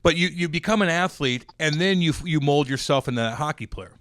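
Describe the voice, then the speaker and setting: A brash, joking man co-hosts a podcast and swears freely as he talks. His voice sits a bit high. The sound is dry and close, with no room echo. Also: fairly quick, fairly bright tone. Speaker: podcast co-host. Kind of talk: casual banter.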